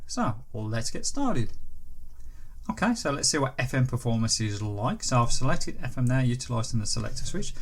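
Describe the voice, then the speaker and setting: A man talks through a product review in a chatty, explanatory manner. His intonation rises and falls freely, and there is a creaky grain to his voice. He sounds like a channel presenter showing something hands-on. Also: medium pitch, a little hiss or hum.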